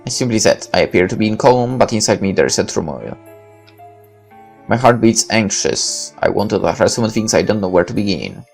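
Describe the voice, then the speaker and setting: A man narrates a visual novel in the first person, reading it dramatically. His voice is creaky with a lively range of pitch, over faint background music. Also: brisk.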